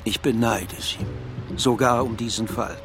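An actor playing a reflective, philosophical man in a radio crime drama, arguing in a pensive, articulate and measured way. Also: fairly low pitch. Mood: wry, resigned. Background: faint background music.

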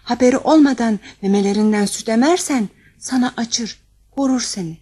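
Woman playing a high, husky fairy-tale young maiden. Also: moderate pace, mellow. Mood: warning. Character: earnest young woman, a prince's betrothed, giving warnings. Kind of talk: Turkish fairy-tale audio drama.

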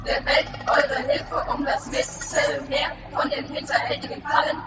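A woman's voice: high-pitched, flat delivery, unhurried, vocal fry. A woman in a radio drama playing a grandiose game oracle, declaiming missions theatrically. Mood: theatrical hype. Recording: very echoey, distant, music behind.

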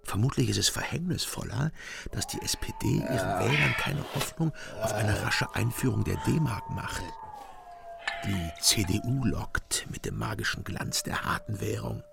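An actor playing a weary, disillusioned East German ex-agent in a radio crime drama, gravelly, brooding and terse. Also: male voice, on the low side, huge pitch swings, over loud music, heavily acted. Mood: sombre, dry. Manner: brooding, terse, halting under pressure.

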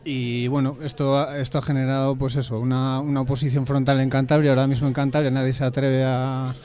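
Mellow-voiced man from a bookshop collective, Spanish accent. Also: mid-range voice, flat delivery, a little fast. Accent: Spain. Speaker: interviewed bookshop and publishing collective member. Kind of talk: informal radio interview answers.

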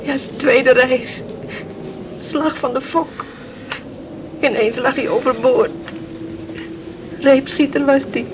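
High-voiced grieving fisher girl. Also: fairly even intonation, slow pace, pauses often. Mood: sorrowful, haunted. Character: grieving fisherman's daughter remembering her drowned brother. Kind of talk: Dutch radio play acting. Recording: noisy old radio play recording.

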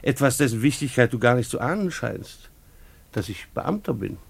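A man's voice: medium pitch, very animated, moderate pace, gravelly, slightly rough, exaggerated voice. An actor playing a middle-aged schoolteacher husband in a radio play, ironic and patronizingly patient with his wife.

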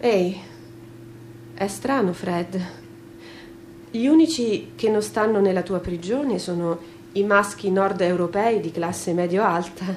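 A woman's voice: mid-range voice, darkish tone, slight background noise, close to an everyday voice. A woman voicing a mocking, vulgar talking turd that rants and needles in a theatrical radio reading. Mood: smug. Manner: mocking, needling, piling up long lists. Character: sneering, ranting, vulgar talking turd, gleefully bigoted.

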